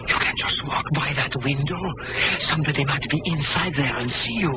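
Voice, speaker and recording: An actor playing a practical, loyal French pilot and escape partner, matter-of-fact, in an old-time radio drama. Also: male voice, a little high, moderate pace, lightly acted. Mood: hushed excitement. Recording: noisy muffled old radio recording.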